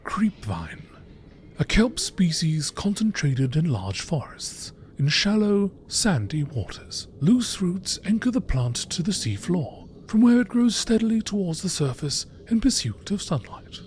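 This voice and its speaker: A man reading scripted narration in a nature documentary style, in a measured, informative manner. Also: sits high, very animated, a little mellow, a little hiss or hum.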